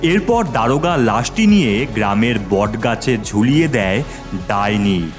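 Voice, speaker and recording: A man's voice: mid-range voice, expressive, unhurried. A man narrating a horror cartoon story in a dramatic scripted style. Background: music.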